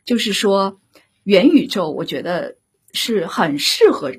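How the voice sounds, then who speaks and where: Female director as panel guest. Strongly husky, expressive intonation, conversational explaining with frequent checking questions. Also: mid-range voice, slow. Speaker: radio drama director and guest.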